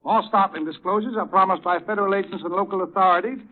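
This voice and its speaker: A man performs an urgent newscaster in an old radio drama. His voice is high and punchy, with declamatory delivery. It sounds thin and narrow, like a vintage set. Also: fast talker, slight background noise, close to an everyday voice. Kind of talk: 1930s news bulletin acting.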